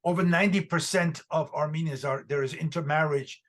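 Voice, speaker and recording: Male host interviewer, slightly high voice, halting delivery. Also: steady tone. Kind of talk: interview conversation.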